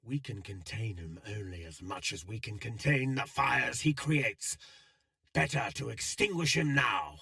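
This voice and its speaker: A cold, world-weary male schemer from a video game, cryptic and eloquent. He takes his time over each phrase, with theatrical swings in pitch. The recording is close and dry, with no room around the voice. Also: animated, creaky, pushed and bright, dry recording, heavily acted. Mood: grave, persuasive. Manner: measured, eloquent, deliberate phrasing. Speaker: video game character voice actor. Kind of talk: scripted game dialogue, theatrical.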